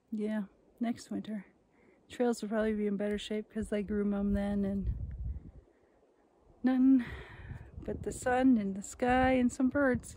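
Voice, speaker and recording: A woman's voice: mid-range voice, a little mellow. A middle-aged woman from Minnesota vlogging casually to a phone held at arm's length, chatty and self-amused, rambling as she goes. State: out of breath at altitude. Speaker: travel vlogger. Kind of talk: casual vlog to camera. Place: in a car, then outdoors on snowy ski trails.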